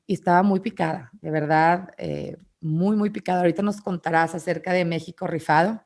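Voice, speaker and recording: A woman's voice: on the low side, fairly even intonation, a little fast, darkish tone. Eager young Mexican woman host. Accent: Mexican Spanish. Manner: eager and enthusiastic. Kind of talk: interview hosting.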